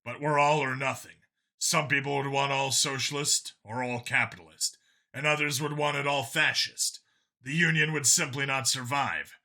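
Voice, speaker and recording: Man voicing an earnest, forceful, world-weary space cop. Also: medium pitch, steady tone, normal speed. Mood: grave, insistent. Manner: long, insistent, reasoning speeches.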